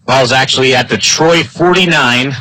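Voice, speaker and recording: A man announcing live football play-by-play in clipped phrases, his excitement building on big plays. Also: medium pitch, moderate pace, dry recording. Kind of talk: live sports play-by-play commentary.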